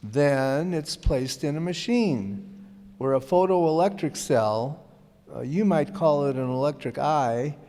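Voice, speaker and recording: Casting: male actor as earnest, courteous radio druggist, mellow and slightly high voice, reverberant hall. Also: expressive, slow pace. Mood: earnest, explanatory. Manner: explanatory, folksy, courteous. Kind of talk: old-time radio advertisement, scripted. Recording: reverberant hall stage recording.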